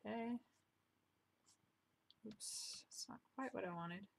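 A young woman talks through her drawing at her desk, her voice sitting at an ordinary middle pitch. She is chatty and self-deprecating, often trailing into soft muttered asides. It sounds like a simple home desk-mic recording. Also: slow, gravelly. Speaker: drawing vlogger. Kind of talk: casual commentary while drawing. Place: home room, indoors.

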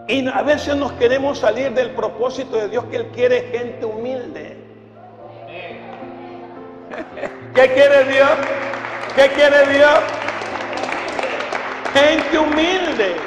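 A man's voice: extremely high pitch, fairly even intonation, drawn-out words, pauses often, a crowd in the background. An older man preaching an impassioned sermon as a pastor, emphatic, with rhetorical questions and repeated phrases. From Ecuador. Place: large church hall, indoors, before a congregation. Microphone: handheld microphone through PA. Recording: echoey church hall, music behind.

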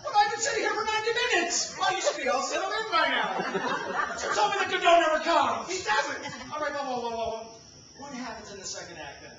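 A man plays an exasperated theatergoer in a comic stage play, swinging widely in pitch as he bickers. He projects to an audience, caught from far off in a very echoey, noisy space. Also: big pitch swings, a little fast, a crowd in the background. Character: two bickering male theatergoers, one crude bored skeptic, one earnest explainer. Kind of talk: loud comic stage dialogue. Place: live summer theater stage. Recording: distant, echoey, noisy live theater recording.

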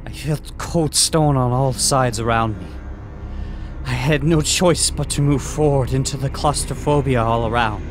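A man's voice: mid-range voice, lightly acted. A man voicing a haunted, anguished young man narrating his nightmare in a horror manga dub. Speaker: voice actor and narrator.

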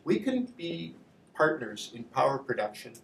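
A man's voice: mid-range voice, sing-song, moderate pace, vocal fry, a bit bright. Distant, echoey man presenting. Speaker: industry association representative, panel speaker. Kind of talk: public presentation at a panel discussion. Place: indoor lecture room with slide screen. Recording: echoey room, distant mic, faint noise.

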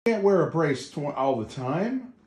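A man's voice: fairly high pitch, very animated, a little slow, darkish tone. A man chatting casually at home, teasing and matter-of-fact. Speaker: family member filming. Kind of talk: casual chat. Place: living room, indoors. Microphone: handheld phone. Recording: roomy, echoing home phone video.

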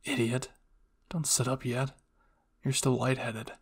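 Man playing a gruff, blunt captain, gravelly voice. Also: medium pitch, level tone, slow. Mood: bored, curt. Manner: curt, scolding, awkwardly tender. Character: gruff, blunt soldier captain, secretly caring. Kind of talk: anime fan fiction roleplay.

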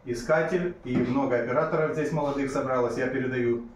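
A man's voice: mid-range voice, a little fast. Middle aged guy doing ham radio callouts, echoey little room, bit of hiss. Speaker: radio club operator and leader. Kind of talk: amateur radio call. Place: small school radio room, indoors. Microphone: picked up by camera in the room. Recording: echoey room, faint noise.

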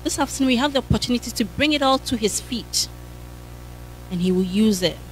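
A woman's voice: a little high, animated, fairly quick, rough recording. Woman in her 30s preaching into a handheld mic in a church, fervent, lots of hallelujahs, faint hum behind. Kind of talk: sermon. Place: church hall.

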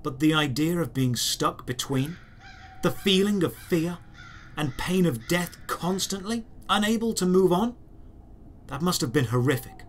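A man reading dramatic first-person fantasy narration as a storyteller, measured, with deliberate pauses. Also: on the high side, lively intonation, slight background noise. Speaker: writer and narrator.

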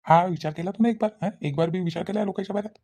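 A man's voice: sits high, very fast, mellow, husky. A man voicing a principled young friend in a village cartoon, scolding insistently with repeated questions in a Varhadi Marathi accent. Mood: insistent, disapproving. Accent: Varhadi Marathi (Vidarbha). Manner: reproachful, repetitive, insistent questioning.

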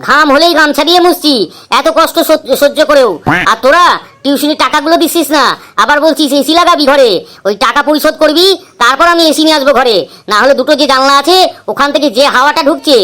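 A woman's voice: sits high, a bit bright. A woman voicing an exasperated village tuition teacher in a comedy cartoon, scolding and complaining.

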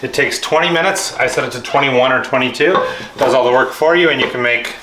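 A man in his thirties casually showing a recipe in his home kitchen, joking and gently coaching his young daughter. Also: mid-range voice, fairly quick, noisy background. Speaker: home cook and vlogger. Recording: echoey kitchen with music behind. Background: background music and clattering pots.

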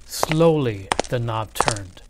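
A man reading a children's picture book aloud in an expressive, measured, dramatic way. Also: medium pitch, heavy background noise. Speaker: read-aloud storyteller.

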